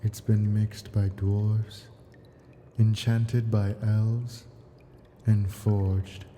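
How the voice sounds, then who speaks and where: Ancient dragon played by a man for ASMR roleplay: doting but possessive captor, unhurried and deeply mellow, soft coaxing delivery. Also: a little hiss or hum. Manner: slow, coaxing, possessive endearments with soft whispers.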